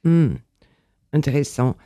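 A man interviewing a guest, asking questions in a measured, hesitant way. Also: a little high, steady tone, dark tone, no room sound.

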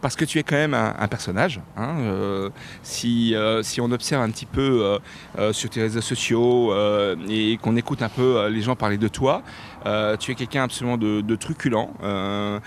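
A man hosting an interview, asking questions in a halting way with frequent fillers. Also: lowish voice. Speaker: interviewer, show host. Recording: faint background noise.

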